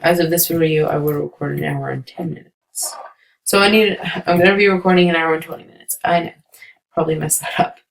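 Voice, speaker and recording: A woman giving chatty, rambling commentary while playing a building game, her voice going hoarse. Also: low-pitched, fairly even intonation, fairly quick, low-quality recording. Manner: chatty, rambling, many ums. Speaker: let's play creator. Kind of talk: casual gameplay commentary.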